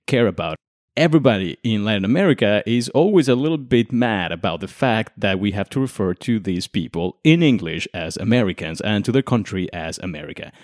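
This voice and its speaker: A young man from Mexico hosting a conversational explainer, making his case with lively rhetorical questions. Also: fairly low pitch, brisk, no echo, studio quality. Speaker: podcast host.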